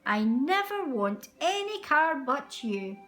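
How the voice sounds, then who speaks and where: A woman's voice: high voice, sing-song, slow pace, fairly bright tone, soft background music, full character voice. A woman voicing a small, earnest and easily worried boy toy from a children's storybook. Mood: cross, loyal.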